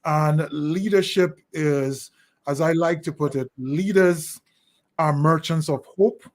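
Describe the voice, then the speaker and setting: A middle-aged man with a Jamaican accent giving thoughtful, deliberately phrased answers as an interview guest. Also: on the high side. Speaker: interview guest, leadership consultant.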